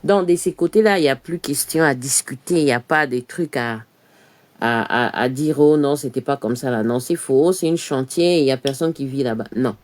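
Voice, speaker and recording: A woman's voice: very deep voice, moderate pace, darkish tone. Woman, live outdoor presenter; emphatic, rhetorical, filler-heavy. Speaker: online news presenter. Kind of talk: live commentary to camera. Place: outdoors in front of a church.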